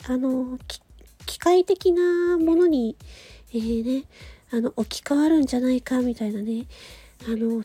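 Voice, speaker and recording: A woman hosts her own casual audio show. Her voice sits on the high side, with a gentle, dark-soft tone and a breathy, husky edge. She talks as if thinking aloud, conversationally and without a script. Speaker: host of a solo audio show. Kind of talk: casual monologue on a chosen theme.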